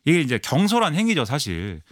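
Male pundit, quick and lively, higher voice, dry close sound. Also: no room sound. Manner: fast, animated explaining with many fillers. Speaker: political commentator. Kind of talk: radio current-affairs analysis.

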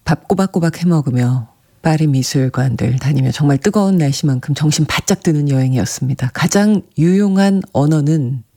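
A radio host with a soft voice that sometimes drops to a breathy whisper, chatting gently and reading listener messages on a classical music show. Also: mid-range voice, fairly quick, hardly any pauses. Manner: gentle, chatty, often laughing softly.

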